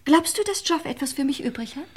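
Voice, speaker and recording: An actress playing an earnest, high-minded young aristocratic niece in a German radio crime play, speaking in a solemn, stilted way with an eager streak. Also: female voice, expressive, quick pace, a little mellow.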